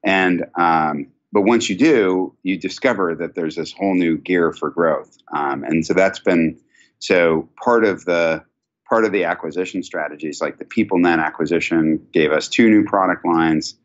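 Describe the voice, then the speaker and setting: Very deep-voiced man on dull call audio. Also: normal speed. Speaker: company chief executive, guest. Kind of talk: conversational business interview. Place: indoor office, video call.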